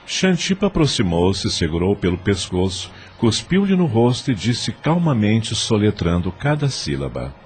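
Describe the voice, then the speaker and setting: Casting: male Brazilian storyteller, low-pitched, scripted audio-drama narration. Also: very animated, a little slow, hardly any pauses, a little mellow, slightly rough.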